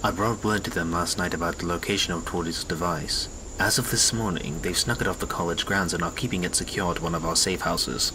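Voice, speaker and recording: An actor playing a brooding reformed assassin who leads a resistance, speaking in a grave, world-weary, measured way in an audio drama. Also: male voice, deep voice, background noise, lightly acted. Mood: calm, resolute.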